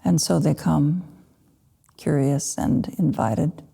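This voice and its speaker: A woman teaching a guided meditation, speaking in short, unhurried phrases with gentle pauses. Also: low-pitched, steady tone, gravelly, slightly rough. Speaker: Buddhist meditation teacher (lama).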